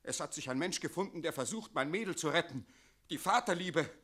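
A man's voice: fairly high pitch, expressive, brisk, fairly bright tone. An actor playing an anxious middle-aged archivist and father in a radio play, protesting with pedantic, pleading insistence. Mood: pleading, insistent. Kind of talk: German radio play acting.

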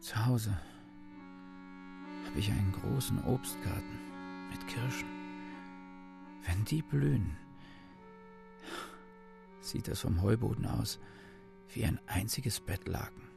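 A homesick soldier from the farm in a radio drama. His low voice is heavily creaky and withdrawn. He takes his time over every phrase, brooding quietly. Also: lively intonation, slow pace, long pauses, full character voice. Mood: subdued, wistful. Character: brooding, homesick farmer turned weary soldier. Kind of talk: German radio play acting. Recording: music behind the voice. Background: music.

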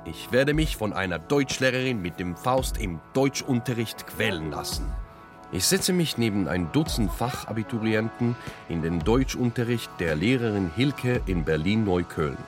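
A man's voice: fairly low pitch, expressive, normal speed, over music. A man giving scripted first-person narration for a radio play, dramatic and self-ironic. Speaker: narrator and author.

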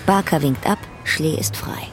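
A man's voice: fairly high pitch, a little slow, over music, rough recording. A man narrating the stage directions of a radio drama in a dramatic scripted delivery.

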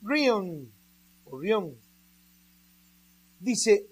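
Slow, emphatic radio preacher. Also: mid-range voice, sing-song, a little mellow, husky. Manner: emphatic, preaching with rhetorical questions. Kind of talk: radio sermon.

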